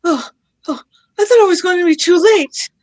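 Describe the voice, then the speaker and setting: A woman's voice: very high-pitched, normal speed, darkish tone. A woman in a radio play acting an earnest, eager young companion with a pleading manner. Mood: distressed relief.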